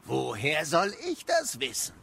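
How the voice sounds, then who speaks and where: An actor playing an ancient, boastful know-it-all captive in an audio drama, eloquent and sardonic. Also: male voice, on the high side, huge pitch swings, a little slow, creaky, sharp tone, a little hiss or hum, full character voice. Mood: sardonic, defiant.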